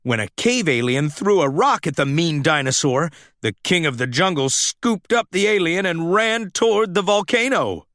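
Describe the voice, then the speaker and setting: A man reads a children's story with dramatic expression. His voice is fairly high and very animated, moving at a deliberate pace. The recording is close and dry. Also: no room sound. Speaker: storybook narrator.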